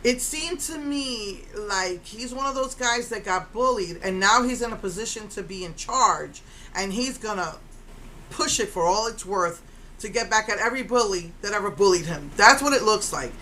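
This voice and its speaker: A woman in her fifties telling a story in an animated, indignant way, with a strong voice. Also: medium pitch, moderate pace, a little hiss or hum, low-quality recording. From Puerto Rico. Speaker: vlogger. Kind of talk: casual storytelling rant.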